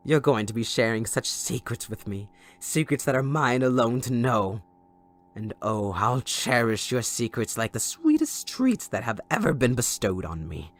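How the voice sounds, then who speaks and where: A performer voicing a gleeful, sadistic supervillain kidnapper in an audio roleplay, theatrical, mocking and possessive toward a captive listener. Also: medium pitch. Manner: theatrical, mocking, giggling, swooping between croon and shriek.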